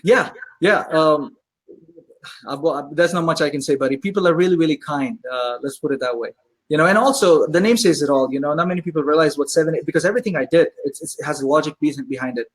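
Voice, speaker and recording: A man with a husky, slightly breathy voice, talking quickly as a guest in a casual chat. He tells stories in an animated way, pitched a bit high for a man and full of "uh"s and restarts. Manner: animated storyteller, many fillers. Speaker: pizzeria owner and guest.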